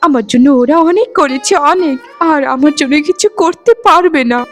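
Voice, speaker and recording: A woman's voice: high-pitched, normal speed, close to an everyday voice. A woman voicing a meek, rejected young bride in a melodramatic cartoon, pleading and repeating her phrases. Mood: despairing. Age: young adult. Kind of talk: Bengali cartoon dubbing, melodrama. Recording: music behind the voice. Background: background music.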